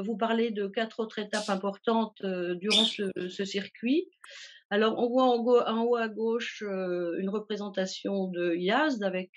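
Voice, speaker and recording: A woman's voice: medium pitch, muffled recording. A woman from a travel agency presenting a tour itinerary from slides, hesitating often with fillers and restarts.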